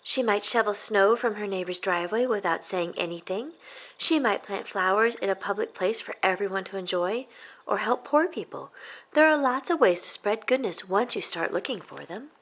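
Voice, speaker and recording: A woman reading a children's picture book aloud as expressive story narration. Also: mid-range voice, moderate pace. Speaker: storybook reader.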